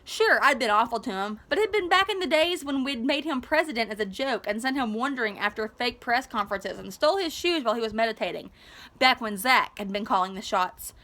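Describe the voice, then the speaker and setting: Woman teacher, expressive storybook narration, bright crisp-edged tone, slightly high pitch, animated, informal. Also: a little fast. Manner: animated, informal asides to listeners. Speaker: teacher reading aloud.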